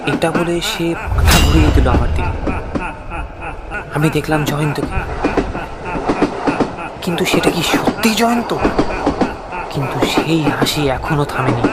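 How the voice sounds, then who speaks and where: A man narrating a scripted horror story in the first person, with a suspenseful, expressive, dramatic delivery. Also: a little high, measured pace, pauses often, over loud music.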